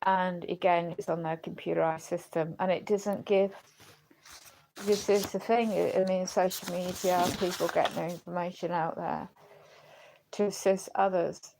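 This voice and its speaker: A woman livestreaming in a rambling, halting way, earnest and questioning, her voice going as if she is unwell. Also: fairly low pitch, flat delivery, creaky, noisy background. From United Kingdom. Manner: halting, searching for words. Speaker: live streamer. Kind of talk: rambling personal livestream talk.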